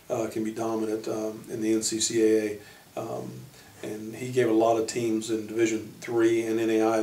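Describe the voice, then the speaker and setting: An American man speaking as a basketball coach, informally introducing his team in halting short phrases. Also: lowish voice, dark tone. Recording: echoey room, distant microphone.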